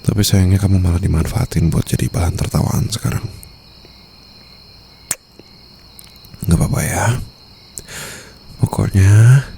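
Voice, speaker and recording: A man with an Indonesian accent acting a smitten, protective mafia boss in an intimate ASMR boyfriend roleplay, murmuring softly and teasingly. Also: deep voice, vocal fry. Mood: gentle, protective. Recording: faint night-time insect ambience noise.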